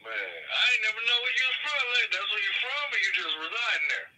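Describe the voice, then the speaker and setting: Sharp-toned man, casual host. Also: very high-pitched, creaky, husky, low-quality recording. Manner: loose, profane, drawn-out "you know" fillers. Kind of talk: casual phone chat.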